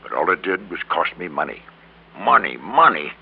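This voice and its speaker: Very deep, gravelly, defeated schemer. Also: male voice, very animated, heavily acted. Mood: bitter, desperate. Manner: halting, resigned, repeats words for emphasis. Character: defeated, greedy middle-aged businessman confessing to murder. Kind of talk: old-time radio drama acting. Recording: crackly, narrow-band old radio recording.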